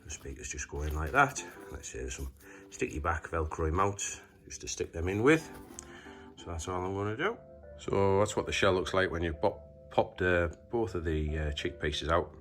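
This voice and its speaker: A man's voice: low-pitched, animated, moderate pace, a bit bright, faint music behind. A man giving a casual, unscripted how-to demonstration in a matter-of-fact, hesitant way as he works with his hands. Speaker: presenter of a DIY installation tutorial.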